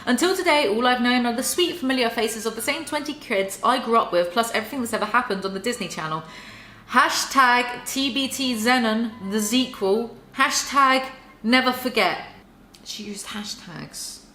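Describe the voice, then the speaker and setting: A woman's voice: a little high, normal speed, sharp tone. A woman reading a book aloud in a mock-theatrical way and breaking off for sarcastic commentary. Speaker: YouTuber reading and mocking a book. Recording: roomy echo.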